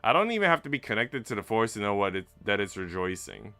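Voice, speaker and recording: A man's voice: lowish voice, big pitch swings, fast, pushed and bright, faint music behind. A man streaming, reading a visual novel aloud and chatting casually with his audience. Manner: informal, slangy when chatting.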